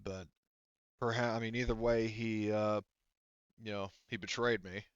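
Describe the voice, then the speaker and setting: A man running a tabletop roleplaying game, improvising narration in a halting, discursive and emphatic way. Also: lowish voice, fairly even intonation, clean tone, no room sound, highs cut off. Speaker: game master.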